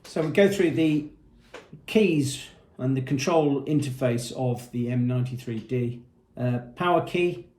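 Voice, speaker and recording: A man with a mid-pitched voice gives an unscripted product walkthrough. He explains things methodically and plainly, point by point. The sound is roomy, with clear echo around his voice. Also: measured pace, a little mellow. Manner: matter-of-fact, methodical, explanatory. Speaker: product presenter.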